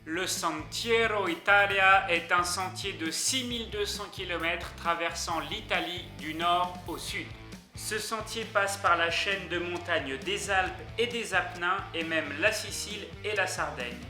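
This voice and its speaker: A man's voice: sits high, unhurried, bright, forceful tone. A young man narrating a travel list video in an upbeat way, speaking directly to his viewers. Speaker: YouTuber. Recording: echoey room with music underneath.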